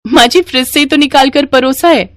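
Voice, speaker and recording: A woman's voice: high voice, a little fast, dry recording, studio quality, pitched above the performer's own voice, natural voice. A woman voicing a stubborn young daughter-in-law in a moral story, insistent and dismissive. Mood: defiant. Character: young stubborn daughter-in-law who loves cold food. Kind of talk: acted dialogue in a moral story.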